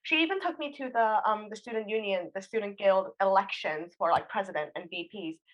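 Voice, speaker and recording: A woman's voice: mid-range voice, brisk, highs cut off. A young woman student casually telling personal stories, acting out bits of conversation as she goes. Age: young adult. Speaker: student panelist.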